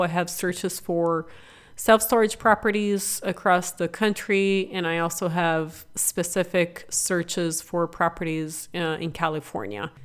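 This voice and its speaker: A middle-aged woman hosting a podcast, her voice raspy from illness, chatty and upbeat with informal asides. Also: on the low side. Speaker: podcast host. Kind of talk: solo podcast explainer.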